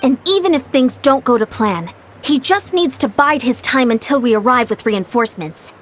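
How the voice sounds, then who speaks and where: A woman's voice: high voice, animated, normal speed, a little hiss or hum. A woman voicing an upbeat, composed young female warrior strategist in a video game, polite, firm and businesslike. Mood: respectful. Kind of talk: video game character acting.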